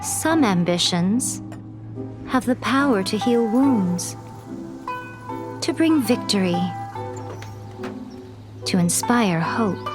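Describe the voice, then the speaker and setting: A woman's voice: mid-range voice, expressive, slow pace, long pauses. A woman playing an elegant, worldly shrine priestess in a video game, poised, refined and playful. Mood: poetic, reflective. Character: sly, elegant, teasing shrine priestess, worldly and self-assured. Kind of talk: video game cutscene acting and narration. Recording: music behind the voice.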